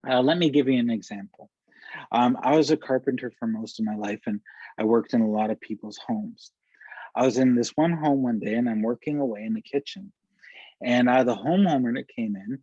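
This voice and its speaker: A man's voice: mid-range voice, a little mellow, breathy edge, no room sound. A man giving a webinar presentation, talking conversationally and checking in with "okay" and "right?". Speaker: workshop presenter. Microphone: video call. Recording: dull lo-fi video call audio.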